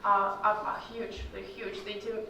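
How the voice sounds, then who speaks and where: A woman's voice: mid-range voice, little pitch movement, moderate pace, sharp tone, slight background noise. A young woman whose first language is Russian, answering student questions casually with many fillers. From Kyrgyzstan. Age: young adult. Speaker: alumni guest speaker. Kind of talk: Q&A talk to students. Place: large echoey hall. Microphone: far from the mic. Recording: roomy hall audio from far off.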